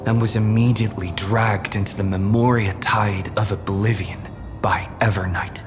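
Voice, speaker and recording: A man voicing a young male traveler narrating a solemn game story recap. He speaks slowly and deliberately, with calm weight. His words ring in a long reverb over music. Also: on the low side, natural voice.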